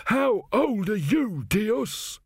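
Guy doing croaky dead pharaoh, audiobook, higher pitched, accusing and bitter. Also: very animated, very slow, dry recording, clean studio sound, full character voice. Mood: bitter accusation. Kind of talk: audiobook character voice.

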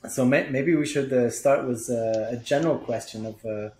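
A host with an Israeli accent talks in a halting way, often stopping and restarting mid-thought. The pitch sits in a middle range. The sound carries a roomy echo. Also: darkish tone, slight background noise. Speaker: podcast host. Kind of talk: casual interview chat.

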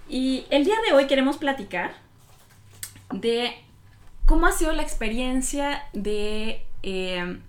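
A woman, hosting a podcast interview and asking questions in a measured, friendly way with many restarts. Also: high voice, animated. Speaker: podcast host.

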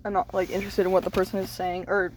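A young woman co-hosting a casual car vlog, quieter and giggly, often trailing off mid-thought, recorded on a phone in a car. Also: fairly low pitch, level tone, fast talker, faint music behind. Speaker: vlog co-host. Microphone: phone set down in car.

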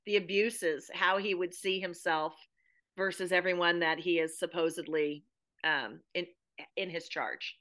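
A woman from the United States, an expressive podcast guest discussing drama conversationally and with emphasis. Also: fairly low pitch, unhurried.

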